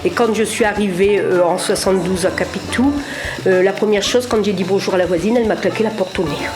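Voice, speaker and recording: A woman's voice: medium pitch, fairly quick, over music. An older woman from northern France, a longtime resident recounting her arrival in a plain-spoken, wry way. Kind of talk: documentary interview.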